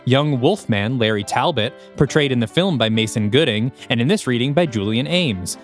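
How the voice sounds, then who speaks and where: A man reading scripted screenplay narration for an audio drama in an animated, descriptive manner. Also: mid-range voice, normal speed, faint music behind.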